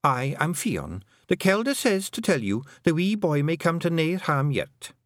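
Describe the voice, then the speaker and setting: A man's voice: fairly high pitch, big pitch swings, a little slow, dry recording, studio quality, voice pushed up. A man voicing a tiny, proud and determined female pixie with a Scottish accent in a storybook reading. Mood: formal.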